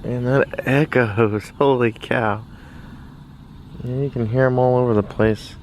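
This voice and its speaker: An American man in his thirties, rambling casually and haltingly as he thinks aloud in a laid-back hobby vlog. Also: medium pitch, steady tone, a little mellow. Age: 30s. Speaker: radio hobbyist, vlogger. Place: small backyard shed. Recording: noisy shed, fireworks in distance. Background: background noise, distant fireworks popping.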